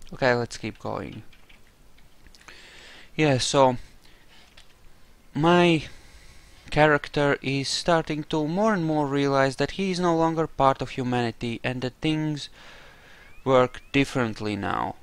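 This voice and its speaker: A man doing roleplay commentary over a game, musing aloud and explaining his character's reasoning in a deliberate way. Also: mid-range voice, smooth. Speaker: gamer narrating a roleplay playthrough.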